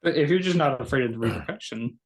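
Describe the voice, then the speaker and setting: A man's voice: medium pitch, expressive, quick pace. A man co-hosting a podcast in a casual chat, speaking bluntly and jokingly with plenty of swearing. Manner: blunt, profane, jokey. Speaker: podcast co-host.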